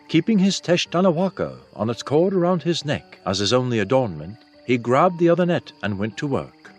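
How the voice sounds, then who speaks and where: A man reading a fantasy novel aloud as scripted audiobook narration. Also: medium pitch. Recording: soft music behind the voice.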